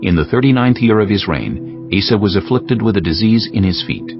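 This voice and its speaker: Deep-voiced, expressive male Bible narrator. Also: a little fast. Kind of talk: scripted dramatized Bible audiobook narration. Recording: dull sound with music bed.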